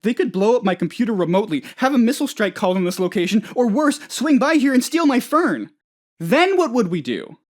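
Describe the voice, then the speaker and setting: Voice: mid-range voice, sing-song, a little fast. An actor playing a dim, anxious, childishly self-important office boss in a comedic video game, rambling hesitantly and turning whiny when upset. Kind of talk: comedic game dialogue, voice lines.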